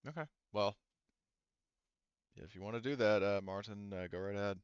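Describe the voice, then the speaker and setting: A man with a low, clear voice runs a tabletop roleplaying session as game master. His delivery is casual and halting, recorded dry and close on a slightly dull headset mic. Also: no echo. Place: indoors, online voice session.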